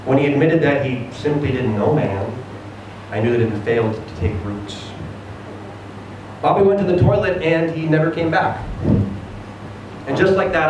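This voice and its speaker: A man reads a short story aloud with theatrical expression. His mid-pitched voice comes from some distance in a heavily reverberant room, with clear background noise. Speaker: reader of a short story at a live event. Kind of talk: dramatic first-person story reading. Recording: very echoey room, distant mic, noisy.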